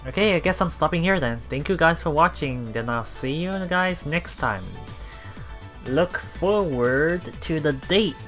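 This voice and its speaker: Slow-talking, halting let's play host. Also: mid-range voice, animated, fairly bright tone. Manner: halting, often chuckling. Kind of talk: casual game commentary, reading dialogue aloud. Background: visual novel background music.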